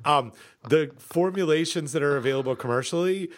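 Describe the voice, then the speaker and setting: A middle-aged man co-hosting a podcast, chatting casually with many fillers, pauses and restarts. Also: a little high. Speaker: podcast co-host.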